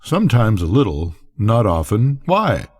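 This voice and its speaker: A man's voice: lowish voice, very animated, a little slow, a little mellow, slightly rough, heavily acted. An older man voicing a well-meaning, bewildered rancher husband in a story, blundering and folksy in his talk. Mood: puzzled.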